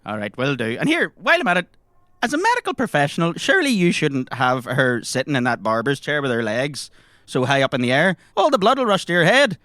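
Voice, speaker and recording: A man with a Northern Irish accent playing a chatty, eager character in improvised comedy, colloquial and animated. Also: on the high side, brisk, dry recording, clean studio sound. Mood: curious, chatty. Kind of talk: improvised comedy role-play.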